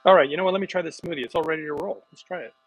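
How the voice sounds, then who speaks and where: A middle-aged man chatting casually with livestream viewers while making a smoothie in his home kitchen, rambling from one thought to the next. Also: on the high side, rapid-fire, low-quality recording. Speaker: wellness vlogger.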